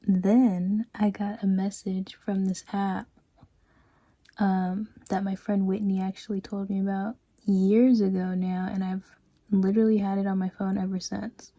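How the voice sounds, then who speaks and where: A woman in her twenties chatting casually in a personal vlog, full of "like" and "um", sometimes dropping to a soft, hushed tone. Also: medium pitch, level tone, measured pace, no echo. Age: 20s. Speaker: vlogger. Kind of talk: casual confessional vlog chat.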